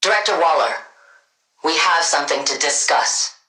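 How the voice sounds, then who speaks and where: A woman playing a cold, sardonic scientist villain in a video game, speaking in a dry, clipped deadpan. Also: sits low, moderate pace, roomy sound, low-quality recording. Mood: measured, guarded. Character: cold, sardonic female genius scientist villain devoted to her wife.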